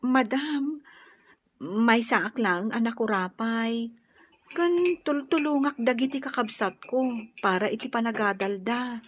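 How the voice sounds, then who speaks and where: A woman's voice: a little high, highs cut off, slight background noise. A woman playing a shy, humble young sweetheart from a poor family in a radio drama, hesitant, with an Ilocano accent. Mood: humble, earnest. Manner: hesitant, bashful.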